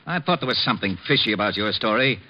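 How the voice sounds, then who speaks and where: A man playing a gruff, exasperated police lieutenant in an old-time radio drama, curt and commanding. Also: lowish voice, lively intonation, fast. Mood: gruff, bullying. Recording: dull old radio recording, faint noise.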